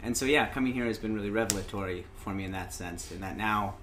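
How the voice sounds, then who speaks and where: Man, interview guest, relaxed storyteller, lowish voice, faint background noise. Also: quick pace. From Indiana, USA. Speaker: interview guest, open mic host. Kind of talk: casual interview.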